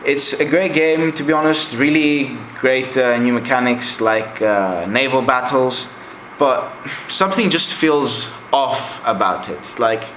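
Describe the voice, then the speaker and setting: Young adult man, native Greek speaker, reviews games in a chatty ramble; bright voice, roomy echo. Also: mid-range voice, unhurried, a little hiss or hum. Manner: rambling and chatty, with many fillers. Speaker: game collector vlogger. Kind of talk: casual game collection review. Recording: echoey room.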